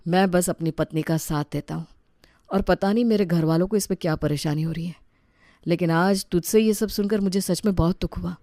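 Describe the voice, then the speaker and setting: Man acting a quiet, hurt young husband. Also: sits high, fairly quick, no room sound, studio quality. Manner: quiet, sincere. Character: mild, hurt young husband in a family story.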